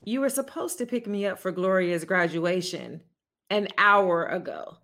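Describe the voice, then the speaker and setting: A scolding mother, with a sharp, bright voice and swooping pitch. She is sarcastic and no-nonsense in an audio drama, taking her words slowly. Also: animated.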